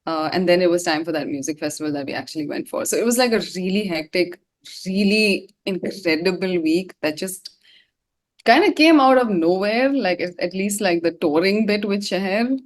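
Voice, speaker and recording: A woman's voice: on the low side, expressive, moderate pace. A woman being interviewed as a singer, talking casually in a slangy, rambling way. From India. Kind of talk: casual chat.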